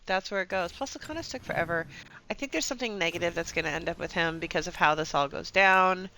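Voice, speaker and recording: A woman's voice: fairly low pitch, fast, sharp tone, rough recording. A woman live-streaming a video game with casual, chatty commentary to herself.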